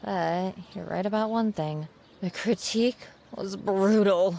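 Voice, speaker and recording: A woman's voice: medium pitch, measured pace, creaky, mellow, a little hiss or hum. A woman playing an unsure, self-doubting seventeen-year-old girl art student in an audio drama, with a youthful, hesitant manner. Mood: weary, exasperated.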